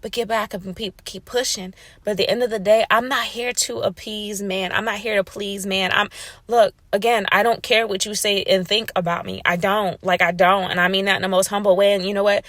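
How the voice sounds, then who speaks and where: A woman's voice: medium pitch, steady tone, brisk, a bit bright, no echo. A woman talking casually and emphatically to her audience, repeating herself to make her point, with features. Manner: assertive, emphatic, repeats "right?" and "you know". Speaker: vlogger. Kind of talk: casual monologue to camera.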